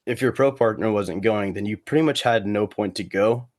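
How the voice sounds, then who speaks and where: A man chatting casually as a podcast guest, rambling and halting, with plenty of slang. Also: on the low side, darkish tone, no room sound. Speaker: guest, competitive cornhole player.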